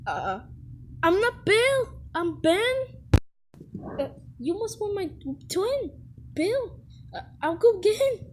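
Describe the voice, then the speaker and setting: High-pitched cheeky little boy engine. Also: slow pace, pauses often, slight background noise, heavily acted. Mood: sly, teasing. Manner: cheeky, excitable, playful. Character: mischievous young twin tank engines, cheeky boys. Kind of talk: animated children's series character acting.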